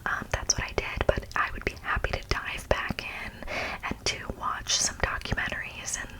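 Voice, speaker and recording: A young adult woman speaks in a breathy, unvoiced whisper, presenting ASMR in an informal, mock-serious way as the host. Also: normal speed, rough recording.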